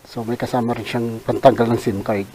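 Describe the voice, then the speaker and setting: A Filipino man giving casual unboxing commentary as a vlogger, halting and thinking aloud in an unscripted way. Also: medium pitch, flat delivery, dark tone, rough recording. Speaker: vlogger reviewing a product. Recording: hissy background noise.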